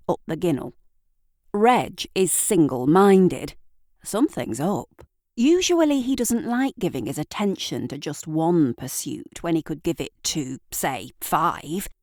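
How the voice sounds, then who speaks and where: A woman narrating an audio drama in a deliberate, theatrical storytelling manner, with dramatic pauses. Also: fairly low pitch, dry recording, studio quality. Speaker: narrator and writer.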